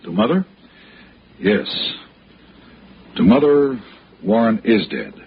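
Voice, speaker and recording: An American actor playing an anguished, pleading, respectable son in a 1960s radio drama, earnest and halting. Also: male voice, fairly low pitch, big pitch swings, breathy edge, heavily acted. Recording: muffled, hissy old radio broadcast.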